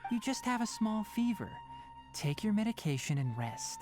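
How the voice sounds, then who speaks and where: A brisk young man of the forest from a video game, acted by a woman. He is precise and no-nonsense, stern yet caring, with a varied mid-pitched delivery over faint music. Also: big pitch swings, warm tone, natural voice. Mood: brisk, reassuring.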